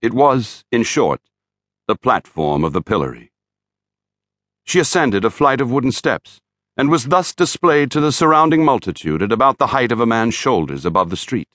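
A man narrating a classic novel as an audiobook, in a formal, measured and expressive storytelling manner. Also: mid-range voice, no room sound, clean studio sound. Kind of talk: scripted literary narration.

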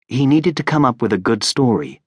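A man's voice: medium pitch, big pitch swings, a little fast, husky, dry recording. A man reading scripted fantasy narration as an audiobook narrator.

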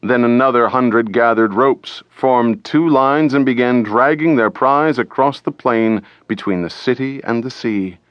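A man reading an audiobook as dramatic scripted narration, deliberate and theatrical, close to the microphone. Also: medium pitch, no echo, muffled recording.

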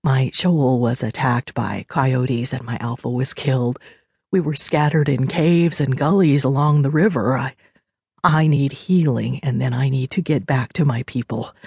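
A woman voicing a meek, pleading male beaver shifter in a soft voice for an audiobook. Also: rumbling low, steady tone, measured pace, creaky, breathy edge, no echo, studio quality, exaggerated voice.

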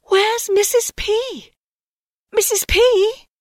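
A woman's voice: on the high side, sing-song, unhurried, no echo, clean studio sound, pitched above the performer's own voice. A woman voicing a fussy, anxious mother barn owl in a storybook reading, with a nervous, fluttery manner. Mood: urgent.

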